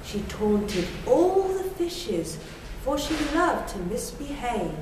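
A woman in her thirties telling a rhymed children's story in a prim, deliberate 1940s television presenter manner. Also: a little high, sing-song. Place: small bare brick-walled room indoors. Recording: echoey room, distant mic, noisy.

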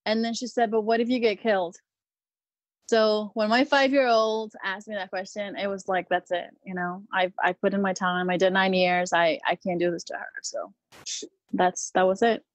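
A woman's voice: mid-range voice, little pitch movement, moderate pace, no echo, highs cut off. A woman in her thirties from Peru, a Marine veteran guest talking casually with lots of hesitant ums and restarts. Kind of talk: casual interview conversation.

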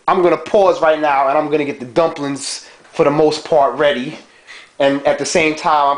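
A young man in a dim home kitchen talking through his cooking in a relaxed, slangy way, recorded on a handheld camera mic. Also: on the high side, a little hiss or hum. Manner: laid-back slangy chatter, frequent "you know what I'm saying". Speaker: home cook vlogger. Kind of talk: casual cooking vlog. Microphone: handheld camera mic held close.

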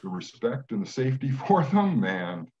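A man in his sixties from Western Canada, speaking in a measured way with many fillers on a video call from home. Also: mid-range voice, sing-song, mellow, a little hiss or hum. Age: 60s. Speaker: guest. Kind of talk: casual video call conversation. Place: home room. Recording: dull lo-fi webcam audio.

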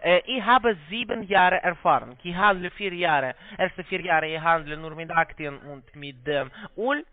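A non-native speaker, a man with a foreign accent and halting, broken grammar, giving a phone sales pitch. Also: no room sound. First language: not German. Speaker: call-centre investment salesman. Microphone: telephone. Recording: muffled band-limited phone line.

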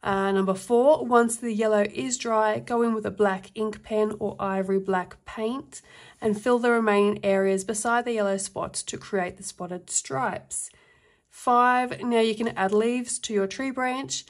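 A woman giving a casual flip-through review of an art workbook, reading passages aloud and adding her own notes with relaxed fillers. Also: mid-range voice, a little slow.

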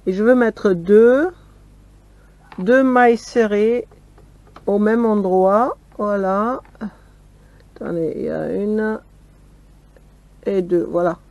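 A woman casually showing her crochet work step by step, often pausing on "voilà", talking from a camper van table with the camera on her hands. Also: mid-range voice, darkish tone, muffled recording. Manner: slow, step-by-step, fond of "voilà". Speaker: crochet vlogger. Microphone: camera filming her hands from close.

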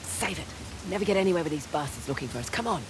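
Actress as a wry, animated treasure-hunting woman. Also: fairly low pitch, rapid-fire, natural voice. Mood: brisk, urgent. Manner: casual, blunt, sardonic. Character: wry, guarded adult woman treasure hunter. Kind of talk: cinematic action-adventure game acting. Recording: rain and ambient noise behind. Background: rain and game ambience.

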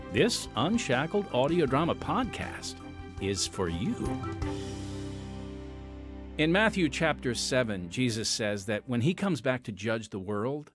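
A man hosting a podcast, talking conversationally with fillers before introducing the episode. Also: medium pitch. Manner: conversational, with fillers and dramatic shifts. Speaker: podcast host. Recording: music bed under the voice.

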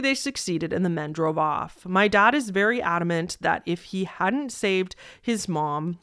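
A young woman hosting a story-reading show, speaking in a conversational, expressive way into a close desk microphone. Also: lowish voice, no room sound. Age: young adult. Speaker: host reading listener-submitted stories. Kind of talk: reading stories aloud with casual commentary. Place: small decorated indoor room.

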